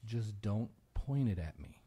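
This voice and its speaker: Unhurried, reflective American man. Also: fairly low pitch, sing-song. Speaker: guest singer-songwriter and radio show host. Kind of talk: conversational interview talk.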